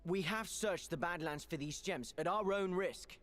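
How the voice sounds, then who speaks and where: An actor playing a wary, suspicious adventurer in a video game cutscene, questioning in a measured way. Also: male voice, high-pitched, a bit bright. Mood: uneasy.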